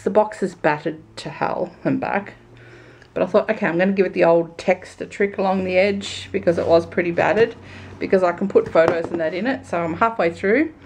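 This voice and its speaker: A young woman chatting casually to camera in a vlog, croaky from a sore throat and rambling in a self-amused way. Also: fairly low pitch, darkish tone, slight background noise. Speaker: vlogger.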